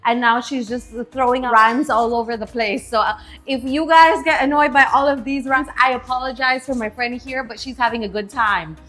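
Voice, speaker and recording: A woman's voice: a little high, steady tone, moderate pace, hardly any pauses, fairly bright tone. A young woman and native Spanish speaker with a slight Spanish accent, co-hosting a pole dance tutorial in a chatty, friendly way. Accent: Spanish-influenced English. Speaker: pole dance instructor, co-host. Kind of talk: casual tutorial chat.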